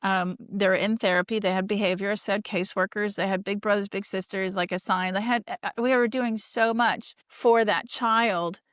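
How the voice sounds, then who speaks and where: A woman's voice: medium pitch, fairly even intonation, moderate pace, hardly any pauses, a bit bright, no echo, clean studio sound. A woman hosting a podcast interview, chatting enthusiastically and rambling with restarts. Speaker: podcast host. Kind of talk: casual interview chat.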